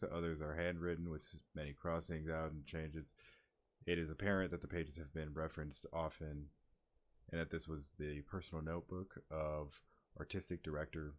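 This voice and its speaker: A man's voice: a bass voice, steady tone, normal speed. A man reading game dialogue aloud during a playthrough, breaking off to react casually. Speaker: gaming streamer.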